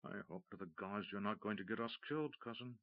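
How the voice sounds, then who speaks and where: A man's voice: lowish voice, very animated, fairly quick, slightly rough, dull audio. A man voicing a wry, skeptical young male rogue who speaks in a whisper. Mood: doubtful whisper.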